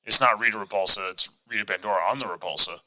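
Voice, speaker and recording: A man's voice: fairly low pitch, fast, sharp tone, rough recording. A man chatting casually as a podcast co-host, like a fan talking shop.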